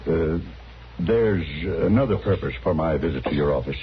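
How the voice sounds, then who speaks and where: An actor playing a formal, anxious older gentleman traveler, polite and hesitant, in an old-time radio western. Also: very deep voice, lively intonation, normal speed. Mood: worried, polite. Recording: muffled, noisy old radio recording.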